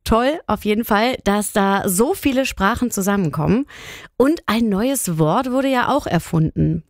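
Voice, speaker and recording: A woman's voice: medium pitch, lively intonation, a little slow, no echo, studio quality. A woman hosting a children's radio show, chatting enthusiastically and speaking directly to young listeners. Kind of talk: presenting to children. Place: radio studio. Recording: music behind the voice. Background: faint music.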